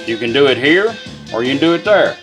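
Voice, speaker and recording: Male product demonstrator, informal and folksy, medium pitch, casual hands-on walkthrough. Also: big pitch swings, fairly quick, music in the background. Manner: folksy, informal, talks to the gadget.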